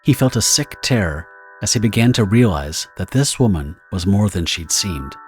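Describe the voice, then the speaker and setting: Deep-voiced male story narrator over music. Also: animated, normal speed. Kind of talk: scripted dramatic story narration.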